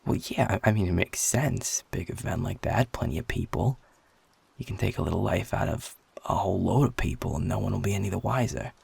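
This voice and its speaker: A feisty, cocky male werewolf played by a man in an intimate audio roleplay. His voice is low and very husky, thick with gravel. He talks bluntly and informally, with a teasing, flirtatious edge. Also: fairly quick, a little mellow, no echo, full character voice. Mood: stunned, curious.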